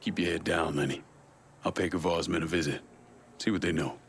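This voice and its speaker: An actor playing a cold, determined criminal in a video game, terse, blunt and menacing. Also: male voice, low voice, steady tone, a little fast, creaky, slight background noise. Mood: cool, menacing.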